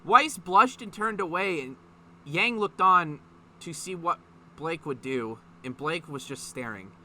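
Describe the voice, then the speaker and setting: A man's voice: on the high side, lively intonation, measured pace, sharp tone, a little hiss or hum. A man reading a story aloud in a mocking, informal way, breaking off to add sweary, incredulous commentary. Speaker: online video host reading fan fiction aloud.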